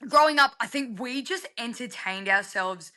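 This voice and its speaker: Young Australian female guest, slightly high and bright, candid, talkative, casual interview delivery. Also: lively intonation, moderate pace. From rural South Australia. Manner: chatty, candid, self-deprecating. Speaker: podcast guest. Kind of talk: casual personal interview chat.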